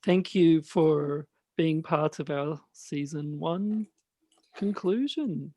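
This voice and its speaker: Slow, halting male host, dry close sound. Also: on the high side, warm tone, no echo. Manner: halting, repeats phrases. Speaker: podcast host. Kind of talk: casual chat.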